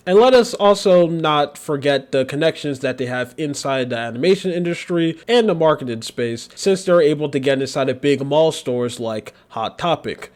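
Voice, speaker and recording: A young man reading a scripted commentary video essay in a sarcastic, mocking way. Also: mid-range voice. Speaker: commentary youtuber.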